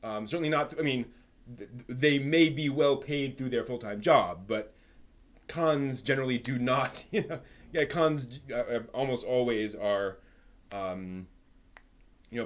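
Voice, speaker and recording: A man hosting a live stream with casual, rambling commentary, often halting and repeating his words. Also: mid-range voice.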